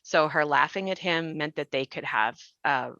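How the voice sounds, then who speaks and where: A woman's voice: sits low, moderate pace, bright, forceful tone. A woman in a reflective panel discussion, explaining her points with emphasis. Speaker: actor and podcast panelist. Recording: muffled, roomy lo-fi call sound.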